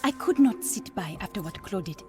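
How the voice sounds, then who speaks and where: An actress playing a clever, earnest young princess inventor in a video game, with an African accent. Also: female voice, medium pitch, animated, brisk, over music, lightly acted. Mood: serious, urgent. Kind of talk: video game cutscene acting.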